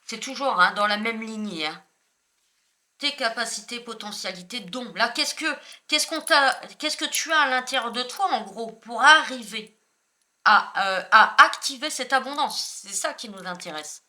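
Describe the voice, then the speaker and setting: Bright-voiced French woman, rambling reading. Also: fairly high pitch, moderate pace. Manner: rambling, self-correcting, many fillers. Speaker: spiritual tarot reader. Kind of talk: casual spoken card reading.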